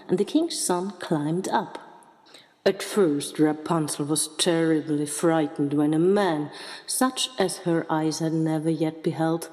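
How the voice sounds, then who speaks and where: A woman reading a scripted fairy tale as a storyteller, with measured, deliberate phrasing. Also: deep voice, a little mellow. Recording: reverberant hall with music behind. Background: music.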